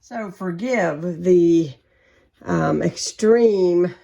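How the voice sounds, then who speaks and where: Chatty slightly hoarse woman in her forties. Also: fairly low pitch, extremely slow, warm tone, muffled recording. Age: 40s. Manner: chatty, rambling, many ums. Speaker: home vlogger. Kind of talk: casual catch-up and cooking chat.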